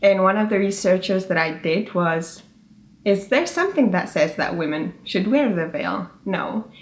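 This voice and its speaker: A woman's voice: medium pitch, lively intonation, a little slow. A young woman whose first language is Arabic, a student speaking firmly and argumentatively in an interview. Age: young adult. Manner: firm, argumentative, poised. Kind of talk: interview testimony. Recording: roomy room, faint ambient noise.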